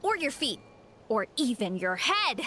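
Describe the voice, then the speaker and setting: A woman's voice: fairly high pitch, sing-song, unhurried, over music. A woman voicing a cheerful, humble young athletic warrior in a video game, speaking in a friendly, eager, casual way. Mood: breezy, reassuring. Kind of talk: video game character acting.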